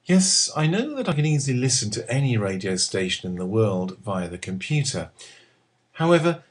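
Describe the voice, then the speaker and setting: A middle-aged man with an English accent, reading a scripted personal essay in a deliberate, expressive manner with measured phrasing. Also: mid-range voice. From England. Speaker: writer reading own column.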